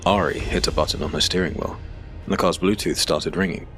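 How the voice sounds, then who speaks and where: A man narrating a scripted dark fantasy audiobook as a storyteller. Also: low voice, fairly even intonation, fairly quick, faint music behind.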